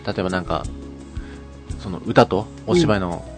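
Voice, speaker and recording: A man's voice: sits low, lively intonation, moderate pace, soft background music. A man co-hosting a radio show in casual chat. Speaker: co-host.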